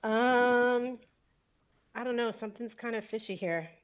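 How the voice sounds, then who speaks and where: A woman's voice: on the high side, measured pace. A woman playing a tabletop roleplay game, improvising her crew member's lines in loose, casual chat. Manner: informal, loose, swearing. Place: indoor room at home, on a video call.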